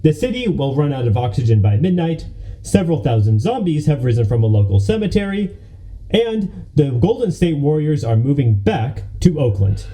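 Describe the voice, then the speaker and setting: A man's voice: fairly high pitch, sing-song, moderate pace, warm tone. A man playing a breezy TV news co-anchor in a parody newscast for a radio play. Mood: breezy, polished.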